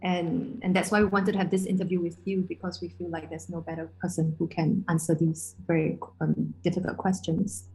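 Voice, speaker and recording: A woman's voice: on the low side, level tone, a little fast, hardly any pauses, darkish tone, poor audio. A woman from Singapore introducing a student project, friendly and hesitant with many fillers. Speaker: student interviewer. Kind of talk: casual interview introduction.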